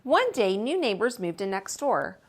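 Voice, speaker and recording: A woman reading a children's picture book aloud as a storytime host, with expressive, drawn-out emphasis. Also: medium pitch.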